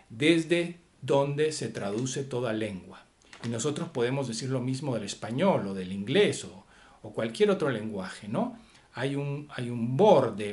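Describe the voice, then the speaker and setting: A man giving an unscripted philosophy lecture, pausing often with fillers and asking rhetorical questions. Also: mid-range voice.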